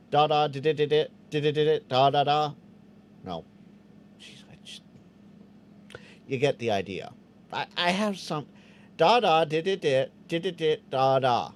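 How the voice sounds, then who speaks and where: Male streamer, easygoing chat, animated pitch, stammery restarts, faint room ambience. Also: slight background noise. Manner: chatty, stammers on restarts, sounds out Morse code. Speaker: host of a live Q&A stream. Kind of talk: casual live-stream chat reading viewer comments.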